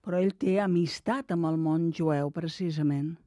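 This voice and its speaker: Woman interviewer asking probing questions, kinda low mellow voice, dry sound. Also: a little slow, no room sound.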